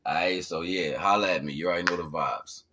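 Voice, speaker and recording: A man's voice: deep voice, brisk, loud music behind the voice. An American man chatting casually and informally to followers as a livestream host, with slangy speech and repeated catchphrases. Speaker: livestream host and independent musician.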